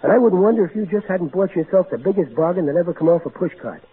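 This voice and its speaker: A man's voice: sits high, lively intonation, quick pace. An actor playing a big-hearted, blustery middle-aged everyman in old-time radio style. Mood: warm, earnest. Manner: folksy, ungrammatical, earnest, blustery. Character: big-hearted, folksy, exasperated working-class everyman. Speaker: actor in a radio comedy-drama. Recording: narrow tinny vintage radio broadcast, hissy.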